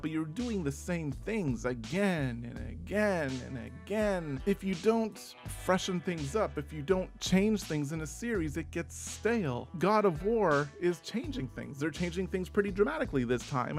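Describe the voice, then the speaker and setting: A man with a slight lisp and a slightly high voice rambles through a self-mocking rant. His delivery is dry and sarcastic, and faint music plays quietly behind him. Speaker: YouTube host. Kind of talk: sarcastic rant reading viewer comments.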